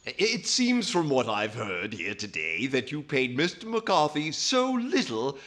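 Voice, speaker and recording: A man's voice: medium pitch, huge pitch swings, a little slow, a bit bright. A man playing a snarky, officious tax agent with a prissy, sarcastic manner in a 1940s radio comedy. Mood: snide indignation.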